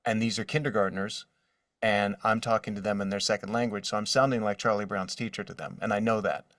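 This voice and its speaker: A man in his forties speaking casually as an interview guest, telling stories in an animated manner from his studio. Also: on the low side, a little fast, no echo, far from the mic. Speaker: guest, voice actor. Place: his own voiceover studio.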